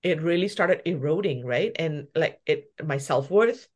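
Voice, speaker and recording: A woman's voice: low voice, expressive, measured pace, darkish tone, breathy edge. Reflective woman from Malaysia. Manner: reflective, laughs often while telling her story. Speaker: guest, pastry chef and shop owner. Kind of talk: casual interview conversation.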